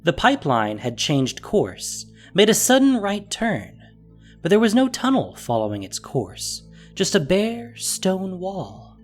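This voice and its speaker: Animated audio drama narrator over music. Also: mid-range voice, takes it slowly. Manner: expressive, descriptive storytelling. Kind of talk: scripted dramatic story narration.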